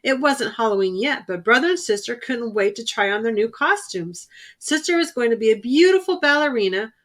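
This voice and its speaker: A woman reading a children's picture book aloud in an expressive storytelling style. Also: on the high side, fairly quick. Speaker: storybook reader.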